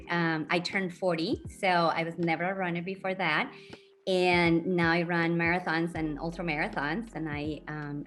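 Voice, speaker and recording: A middle-aged woman from Colombia, a guest speaking earnestly about her path and giving advice, pausing often with ums, heard over a video call. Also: lowish voice, a bit bright. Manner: earnest, reflective, hesitant with many ums. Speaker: university diversity officer, guest.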